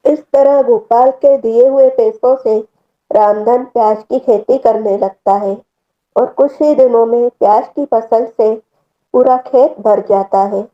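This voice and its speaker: A woman's voice: on the high side, measured pace, highs cut off. A woman narrating a scripted children's story.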